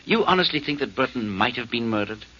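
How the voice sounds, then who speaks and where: A man's voice: mid-range voice, lively intonation, quick pace, bright, forceful tone. An actor playing a worried, stammering man wracked with guilt, in a 1940s radio drama. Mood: anxious. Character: anxious guilt-ridden adult man, sleepless. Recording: dull old radio recording.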